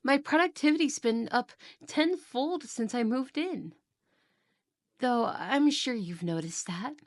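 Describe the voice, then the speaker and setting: A woman acts out a cheerful female Christmas elf living undercover as a roommate. She speaks very slowly in a slightly high voice, chatty and a little hesitant, in an intimate ASMR roleplay style. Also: animated. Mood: friendly, admiring. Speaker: roleplay voice actress.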